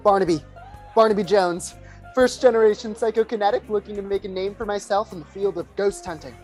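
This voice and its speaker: A woman's voice: mid-range voice, a little fast, a little mellow, natural voice. A woman playing an eager, nervous young male psychic in an audio drama, earnest and overeager. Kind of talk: scripted audio drama acting. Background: music.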